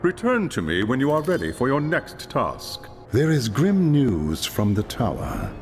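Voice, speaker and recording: A man's voice: mid-range voice, wildly swooping pitch, measured pace. An actor playing a stern elder male elf lore master, grave and commanding as he gives orders. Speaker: quest giver. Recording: reverberant with game music behind.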